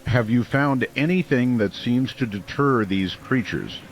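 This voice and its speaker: A man playing a steady small-town radio host who delivers grave, urgent emergency updates in an audio drama. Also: medium pitch, unhurried, highs cut off, close to an everyday voice. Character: steady small-town American male late-night radio host during werewolf siege. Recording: noisy, with rain-like hiss behind.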